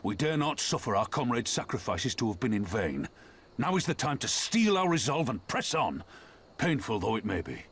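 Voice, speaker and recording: A man's voice: mid-range voice, sing-song, fairly bright tone, a little hiss or hum. An actor playing a battle-hardened general who speaks firmly and rallies his allies. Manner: firm, rallying, measured. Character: battle-hardened male general, steady and commanding. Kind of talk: game cutscene voice acting. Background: orchestral game score.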